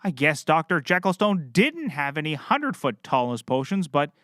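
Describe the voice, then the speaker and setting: A man playing a bumbling, oblivious, excitable private detective in a scripted audio comedy, melodramatic and cheerfully clueless. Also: fairly high pitch, normal speed, no room sound, clean studio sound. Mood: dramatic, rambling.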